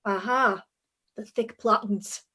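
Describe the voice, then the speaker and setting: A woman's voice: mid-range voice, fairly even intonation, slow pace, bright, forceful tone. An actress playing a witty, flirtatious, self-assured female private eye in a 1950s-style radio detective play, with breezy, wisecracking delivery. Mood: brisk, playful. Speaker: lead actress and first-person narrator.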